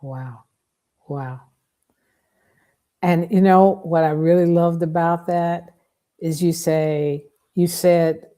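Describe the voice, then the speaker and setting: A woman's voice: lowish voice, darkish tone. A woman hosting a conversational interview, speaking in a reflective, roundabout way as she sets up her questions. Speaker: podcast host, interviewer.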